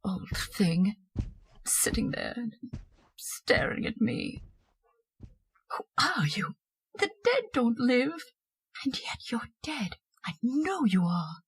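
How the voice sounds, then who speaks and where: Nagging, terrified wife for horror radio play. Very hoarse, unhurried but agitated, domineering and insistent. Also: female voice, a little high, very animated, full character voice, poor audio. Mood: haunted, fearful. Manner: demanding, agitated, repeating questions. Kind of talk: old-time radio horror drama acting.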